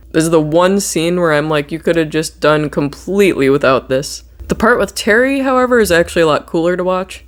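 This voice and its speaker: This is a movie reviewer with a mid-range voice, recapping horror in a casual way. The delivery rambles conversationally and is peppered with swearing. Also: medium pitch.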